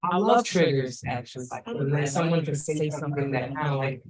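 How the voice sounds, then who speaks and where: A man's voice: fairly high pitch, brisk, a crowd in the background. Rambling man in his thirties. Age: 30s. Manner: rambling, filler-heavy, enthusiastic. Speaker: host. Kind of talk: casual interview chat.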